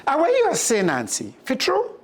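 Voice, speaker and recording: A storyteller puts on a friendly, gullible male mongoose from a storybook. He speaks excitable Jamaican patois, with pitch raised well up. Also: moderate pace. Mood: amazed.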